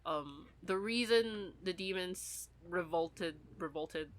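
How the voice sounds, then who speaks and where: A woman's voice: on the low side, slow pace, slight background noise. A woman in a casual, rambling fan discussion, talking as a podcast co-host.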